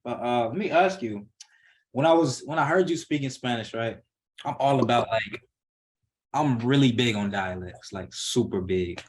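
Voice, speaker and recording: A man hosting a casual interview, relaxed and slangy, with short affirming replies. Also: mid-range voice, moderate pace. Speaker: interview host.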